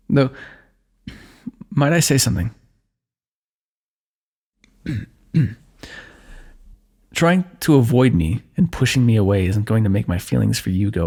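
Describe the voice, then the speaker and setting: A young man speaking softly and intimately in a fantasy romance roleplay, tender and earnest, with sighs and small laughs. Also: medium pitch, creaky. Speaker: ASMR roleplay narrator.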